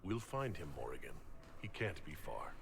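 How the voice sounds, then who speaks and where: Earnest heroic leader, lively swooping pitch, direct, fantasy game, long reverb. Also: male voice, moderate pace, vocal fry, full character voice. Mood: concerned. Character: heroic, concerned male leader of an inquisition. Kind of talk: video game dialogue. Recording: reverberant game audio, faint noise.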